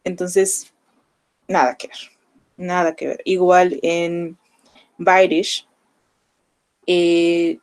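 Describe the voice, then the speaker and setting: A young Mexican woman with a Mexican Spanish accent, explaining to her students in a relaxed classroom manner full of fillers. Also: lowish voice, low-quality recording. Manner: conversational, explanatory, with frequent fillers. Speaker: language teacher. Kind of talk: online class lecture.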